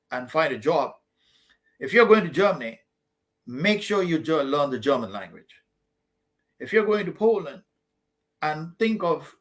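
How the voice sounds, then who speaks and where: A man with a fairly high voice gives informal advice in a question-and-answer session. He is emphatic and blunt and keeps repeating his points. He often calls his listeners "man". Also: fairly bright tone. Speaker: study-abroad advisor answering student questions.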